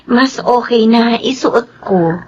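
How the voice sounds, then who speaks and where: An actress playing a gentle, easily swayed young lady of a wealthy house in a radio drama, with a Filipino accent. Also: a little high, slow pace, breathy edge, lo-fi sound. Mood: gentle, kindly.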